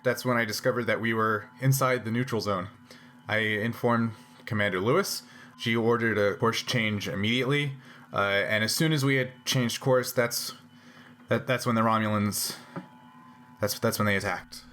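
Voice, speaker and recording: A man playing a guilt-ridden, apologetic starship navigator in a tabletop game, speaking haltingly with stammered repeats. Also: lowish voice, a bit bright, slight background noise, close to an everyday voice. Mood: guilty, halting.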